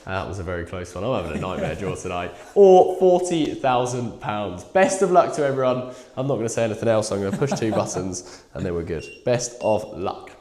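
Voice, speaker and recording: A croaky, bunged-up man hosting a live prize draw in chatty, casual talk. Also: fairly low pitch, lively intonation, brisk, mellow, roomy sound. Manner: chatty, reads numbers aloud. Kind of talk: casual live-stream chat.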